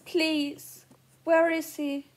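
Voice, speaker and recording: A woman's voice: high voice, flat delivery, drawn-out words. A young woman in an amateur fandub, playing a pleading, defiant teenage girl searching for her brother. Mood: pleading, upset. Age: young adult. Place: small living room, indoors.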